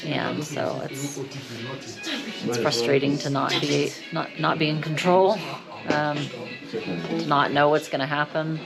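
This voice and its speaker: Low, creaky-voiced woman, upset. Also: steady tone, slow pace, pauses often, loud music behind the voice. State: upset, anxious. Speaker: travel vlogger. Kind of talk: candid vlog talk to camera.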